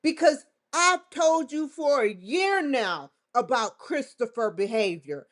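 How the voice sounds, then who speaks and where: A woman's voice: high voice, lively intonation, takes it slowly. A woman vlogger giving a defiant, confrontational monologue straight to the camera, swearing often. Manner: defiant, confrontational, with frequent profanity. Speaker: vlogger hosting her own show.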